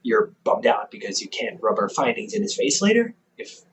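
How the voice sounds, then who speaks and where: A young man playing a caring, gently stubborn older brother around twenty in an intimate audio roleplay, teasing softly with hesitant pauses. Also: fairly low pitch, big pitch swings, a little hiss or hum. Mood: probing, concerned. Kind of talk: intimate audio roleplay, one-sided conversation.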